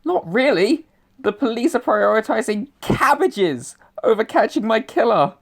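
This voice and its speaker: A woman's voice: fairly high pitch, very animated, unhurried, creaky, exaggerated voice. A woman voicing the ghost of a murdered young male writer, complaining indignantly, heard from a distance. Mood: aggrieved.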